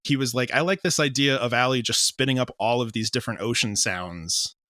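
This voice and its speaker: A man's voice: mid-range voice, fairly quick, bright, forceful tone, no echo, clean studio sound. A man chatting casually as an interview guest, explaining his creative work.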